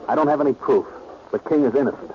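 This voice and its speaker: A man's voice: medium pitch, quick pace, close to an everyday voice. An American actor playing an earnest, anxious young male attorney in a 1940s radio drama. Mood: pleading, earnest. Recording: muffled old radio recording, loud hiss.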